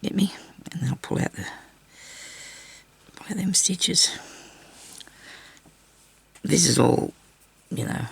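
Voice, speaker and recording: An older woman with a raspy, hoarse voice from a bad cough, chatting casually through a sewing tutorial at her craft table. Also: on the low side, expressive, slow pace, long pauses. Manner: chatty, rambling asides while stitching. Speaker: crafting channel host. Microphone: overhead camera on her hands.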